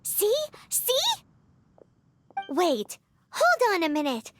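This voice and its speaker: A woman voicing a tiny, childlike, excitable girl sidekick creature in a video game, with a squeaky voice. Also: extremely slow, noisy background, heavily acted. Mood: excited, insistent.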